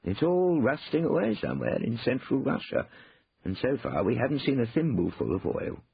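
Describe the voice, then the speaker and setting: A man voicing a shrewd older gentleman in an audiobook, politely rueful and slyly composed. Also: medium pitch, huge pitch swings, normal speed, gravelly, breathy edge, no echo, highs cut off, voice pushed up, full character voice.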